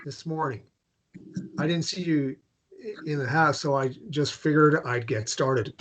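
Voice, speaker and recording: A man's voice: on the high side, unhurried, lightly acted, rough recording. A man playing an earnest, haunted man in a drama table read, understated and hesitant. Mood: subdued. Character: earnest, haunted man keeping his promises.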